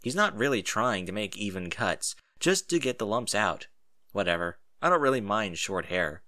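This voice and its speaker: A man narrating a first-person story in an expressive reading style. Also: lowish voice, moderate pace.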